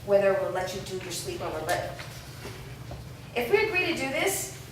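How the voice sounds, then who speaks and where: A woman's voice: medium pitch, sing-song, normal speed, lightly acted. A woman playing a stern, worried mother of a teenage girl in a staged reading of a drama. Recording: echoing room, distant mic, clear background noise.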